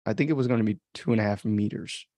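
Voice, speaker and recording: A man who is an author and host, giving informal, halting commentary on his own book as he thinks aloud. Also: on the low side, mellow, dry recording.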